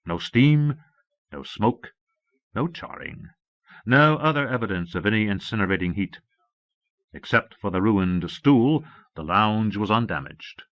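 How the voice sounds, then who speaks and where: A man's voice: lowish voice, expressive, unhurried, long pauses, no echo. A man reading a novel aloud as a scripted first-person audiobook narration. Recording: muffled old cassette audiobook.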